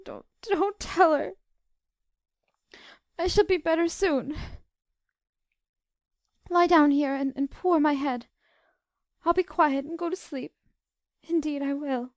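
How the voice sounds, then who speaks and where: A woman voicing a gentle, sorrowful eighteen-year-old girl in a storybook, with a soft, tearful quaver. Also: sits high, fairly even intonation, unhurried, no room sound, clean studio sound, pitched above the performer's own voice. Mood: tearful, despairing.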